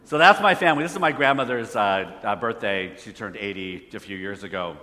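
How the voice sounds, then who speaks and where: A man's voice: on the low side, lively intonation, a little fast, pushed and bright. A man preaching a conversational sermon, asking the audience questions. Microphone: phone hotspot for slides. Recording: echoey hall reverb.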